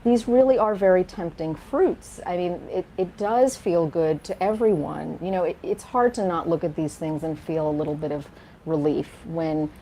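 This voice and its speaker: A woman's voice: lowish voice, moderate pace, mellow, a little hiss or hum. A woman interviewed in an archive documentary, speaking reflectively.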